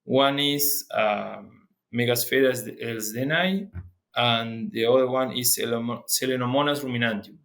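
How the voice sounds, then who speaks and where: A young man from Argentina, a guest researcher explaining his work in an interview, speaking haltingly with many restarts and fillers. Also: medium pitch, creaky, fairly bright tone.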